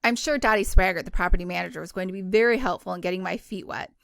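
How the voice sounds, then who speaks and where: A woman's voice: fairly low pitch, fast, vocal fry, fairly bright tone, no echo, studio quality. A woman reading a first-person novel aloud in a casual, informal way, sometimes stumbling and correcting herself. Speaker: book club host reading a novel aloud.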